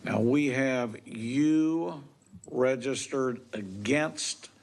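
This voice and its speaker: Slow, gravelly committee chairman. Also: mid-range voice, slight background noise. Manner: matter-of-fact, spells out names. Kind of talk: procedural hearing chairing.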